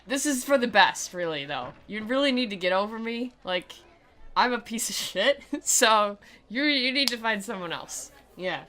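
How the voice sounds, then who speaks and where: A woman's voice: on the high side, animated, normal speed, bright, forceful tone. A woman giving sarcastic, theatrical commentary as she plays and reads aloud from a video game, in a mocking, giggle-prone way. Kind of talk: sarcastic playthrough commentary with dramatic reading.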